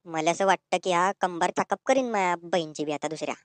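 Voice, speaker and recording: A woman's voice: fairly low pitch, fast talker, dry recording, lightly acted. A woman voicing a cheeky, suspicious young village man in a comedy cartoon, with a rural Ahirani accent from north Maharashtra. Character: cheeky young unmarried village brother-in-law, suspicious, quick-tongued.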